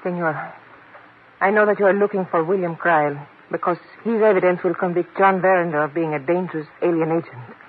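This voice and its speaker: A woman's voice: lowish voice, fairly even intonation, a little fast, close to an everyday voice. A woman playing a guarded, commanding woman of intrigue in a 1950s radio drama, speaking formally and precisely. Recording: tinny old radio with light noise.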